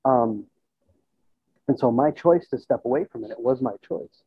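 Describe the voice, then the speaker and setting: A man's voice: mid-range voice, moderate pace, husky. A man in his thirties, a musician and band teacher, talking reflectively in a casual interview and thinking aloud as he goes. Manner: conversational, thinking aloud, checks in with "you know" and "right?". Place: indoors in a plain room on a video call. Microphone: earbud headset. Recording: tinny, band-limited video call audio.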